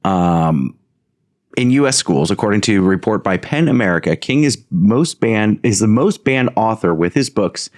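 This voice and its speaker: A man's voice: lowish voice, expressive, normal speed, a little mellow, no room sound, clean studio sound. A man co-hosting a podcast, teasing his friends and sometimes reading articles aloud. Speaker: podcast co-host. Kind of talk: casual chat.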